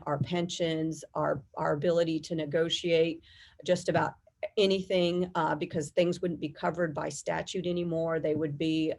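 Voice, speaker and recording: Low-pitched, creaky middle-aged American woman. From United States. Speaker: guest, air traffic controllers' union executive. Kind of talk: conversational interview answers.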